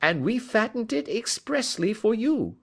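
A man doing a brisk, huffy sister. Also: high-pitched, very animated, creaky, fairly bright tone, slightly rough, exaggerated voice. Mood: matter-of-fact. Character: homely, slightly huffy woman, the thief's sister.

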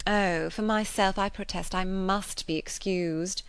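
A woman's voice: mid-range voice, steady tone, a little slow, clear, resonant tone, no echo, natural voice. Woman doing a snooty married lady from an old novel, full of herself, very emphatic, dry sound. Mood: affronted, haughty. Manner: pompous, emphatic. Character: pompous, self-satisfied married lady, affronted and chattering. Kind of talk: classic novel character dialogue.

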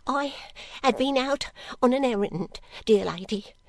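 A wretched young servant girl from a storybook. The voice is very high and close and dry, plaintive and pleading, anxious to please and easily frightened. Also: lively intonation, unhurried, no room sound. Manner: plaintive, pleading, breathlessly repetitive. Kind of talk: audiobook character acting.

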